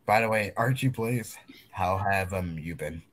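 A man's voice: deep voice, unhurried, a bit bright. A man bantering casually in an online group voice chat.

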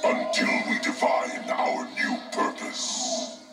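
A man playing a grave warrior made of many souls in a fighting game, formal and ominous, with a hollow, breathy, processed voice. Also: over loud music. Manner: slow, formal, ominous, speaks as we. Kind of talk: fighting game character dialogue.

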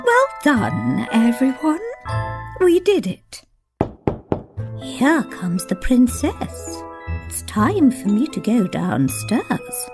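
A shrewd, friendly older storybook queen, acted by a woman. She speaks slowly and theatrically in a high register, with prominent children's music behind. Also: huge pitch swings, heavily acted. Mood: coaxing, friendly. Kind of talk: children's storybook app character acting.

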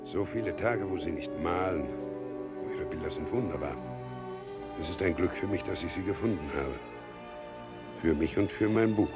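An actor playing a cool, calculating expedition member in a radio play. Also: male voice, deep voice, moderate pace, pauses often, natural voice. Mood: insistent. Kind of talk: radio drama acting. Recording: radio play with music underneath.

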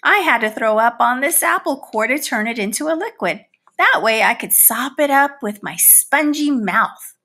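Woman as a boastful, very animated storybook housefly. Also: on the high side, normal speed, full character voice. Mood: explanatory. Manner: boastful, theatrical, scornful of butterflies. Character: boastful, butterfly-envying male housefly, a proud old father. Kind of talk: animated children's picture book read-aloud.

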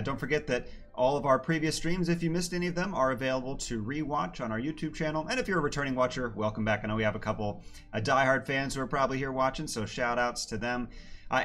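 A man in his thirties, slightly hoarse, hosting a casual science livestream with plenty of "uh" fillers. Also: medium pitch, fairly bright tone. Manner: friendly, filler-heavy with many "uh"s. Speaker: planetarium educator hosting a livestream. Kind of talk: casual live-stream talk.

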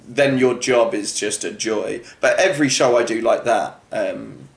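Effusive guy in a casual interview, lots of vocal fry, echoey room. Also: fairly low pitch, a little slow. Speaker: interview guest, children's entertainer. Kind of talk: casual chat. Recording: roomy, echoing room.

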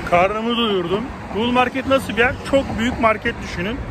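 A man's voice: very high voice, normal speed. A man in his thirties from Turkey, chatting casually to viewers while walking a city, recorded on a phone at arm's length outdoors. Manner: chatty, rambling, addresses viewers directly. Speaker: travel vlogger. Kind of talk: casual walking-tour vlog. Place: outdoors on a windy lakeshore and city streets. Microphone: phone held at arm's length. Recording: outdoor phone audio with traffic and wind noise.

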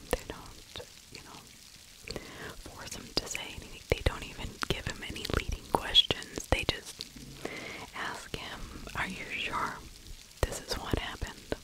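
A middle-aged woman speaks entirely in a breathy whisper, very close to the mic. She narrates in an unscripted true crime ASMR style, halting between short phrases. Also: rough recording. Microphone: large microphone with furry windscreen, very close.

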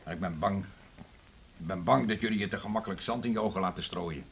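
A man's voice: deep voice, fast, vocal fry, fairly bright tone, slight background noise. An actor with a Dutch accent playing a sceptical, earnest man warning his friends in a radio drama.

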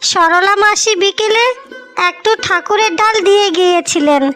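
A woman as a very high, earnest young daughter. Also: normal speed, sharp tone, faint music behind. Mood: caring. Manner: earnest, chiding. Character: dutiful, caring young elder daughter, gently scolding. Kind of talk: cartoon dialogue.

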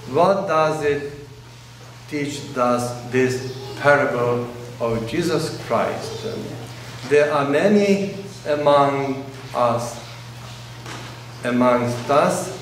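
A man in his forties, a priest whose first language is Russian, preaching a sermon in slow, pausing phrases, recorded indoors in a large church by a camera at a distance. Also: mid-range voice, a little hiss or hum. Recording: very echoey church, distant mic.

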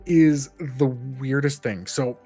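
A man talks as a podcast co-host in a casual film discussion. His pitch is middling, and he thinks aloud in chatty, loose fragments. Faint music plays behind him. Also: slow pace, warm tone.

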